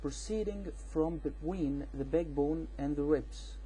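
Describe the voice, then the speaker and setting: A man with an Egyptian accent, a native Arabic speaker, giving an informal explanatory rebuttal in a halting, repetitive, insistent way. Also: on the high side, mellow, slight background noise, low-quality recording. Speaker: amateur video responder on religion.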